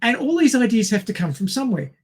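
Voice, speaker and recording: A man co-hosting a casual film discussion, explaining things in a wordy, halting way with tangents. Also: very high-pitched, big pitch swings, husky. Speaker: podcast co-host.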